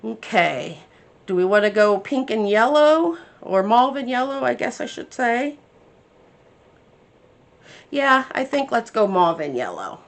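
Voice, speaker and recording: A woman's voice: a little high, very animated, measured pace, long pauses. A woman hosting a craft tutorial, chatting casually and thinking aloud as she works, a bit congested from her sinuses. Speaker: crafting channel host.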